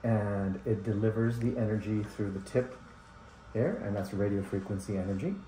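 A man working as an ear, nose and throat doctor, explaining a procedure to a patient in a measured, instructive way. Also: fairly low pitch, warm tone. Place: small clinic exam room, indoors. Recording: roomy clinic room with background noise.

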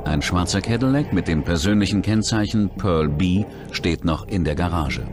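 A man's voice: deep voice, expressive, moderate pace. A man reading scripted true-crime documentary narration as the narrator. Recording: music bed behind the voice.

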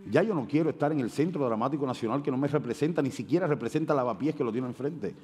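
A Cuban man in his sixties talking into a headset mic on an auditorium stage, his voice mellow and dark. He speaks with heat and stumbles on fillers, and the long hall reverb trails behind every phrase. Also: mid-range voice, fast talker. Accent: Cuban Spanish. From Cuba. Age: 60s. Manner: animated, gestures widely, many hesitations. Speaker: panel speaker. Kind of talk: impassioned panel debate.

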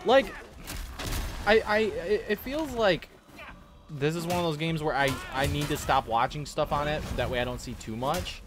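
A young man giving a casual, halting, slangy reaction commentary as a gaming YouTuber at a desk indoors. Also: fairly high pitch, music in the background. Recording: clear background noise of game ambience.

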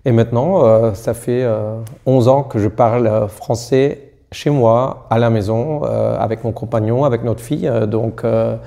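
Hesitant German-accented man, mellow voice. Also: mid-range voice, fairly even intonation, a little slow, gravelly. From Austria. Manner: hesitant, many fillers and restarts, searching for words. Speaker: doctor, interview guest. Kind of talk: casual interview chat in a learned language. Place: small indoor studio room.

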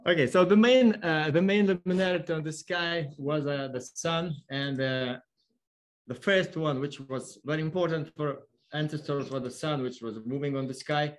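A man with a Lithuanian accent gives an explanatory online lecture in halting, non-fluent English. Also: a little high. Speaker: observatory worker giving a lecture. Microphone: online video call.

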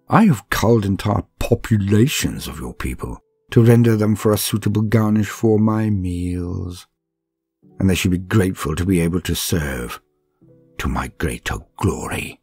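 A man playing a cruel, decadent ancient alien aristocrat, telling a horror tale in a grandiose, haughty, theatrical way with slow pauses. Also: low voice, darkish tone, husky, no room sound, exaggerated voice. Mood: haughty contempt. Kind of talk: dramatic first-person scripted horror storytelling. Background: music.

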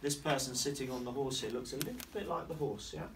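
A middle-aged headmaster reading a picture book aloud in a classroom, playfully asking the children questions and slowing down on the rhymes. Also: male voice, medium pitch. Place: primary school classroom, indoors. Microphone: camera across the room. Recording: distant camera, noisy classroom.

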